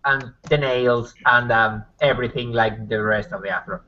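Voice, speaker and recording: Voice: medium pitch, fairly even intonation, normal speed, lo-fi sound. A tabletop roleplayer chatting casually in character. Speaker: player in a tabletop roleplaying game. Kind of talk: casual in-character game chat.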